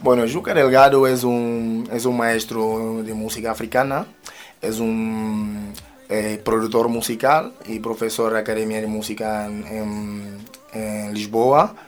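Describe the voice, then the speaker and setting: A man's voice: lowish voice, level tone, a little slow. A man, a musician and rapper, speaking as an interview guest, often repeating words and phrases as he goes. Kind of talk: spoken radio interview.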